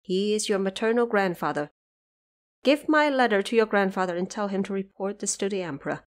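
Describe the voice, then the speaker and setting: A woman's voice: mid-range voice, fairly quick, close to an everyday voice. Devoted, earnest noble mother, read by a woman. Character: devoted, anxious noble mother giving urgent instructions.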